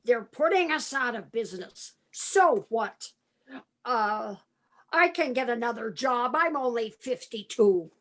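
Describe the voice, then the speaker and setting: A woman's voice: a little high, expressive, a little slow, fairly bright tone. A woman playing a folksy, exclamatory uncle in his fifties in an amateur radio play. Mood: flustered. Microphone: video call.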